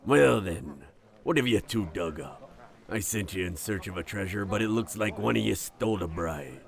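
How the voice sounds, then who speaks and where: A man playing a gruff, superstitious older sea captain with a gravelly voice and commanding, salty sailor speech in a nautical audio drama. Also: sits low, animated, moderate pace. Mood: gruff, teasing. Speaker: ship captain.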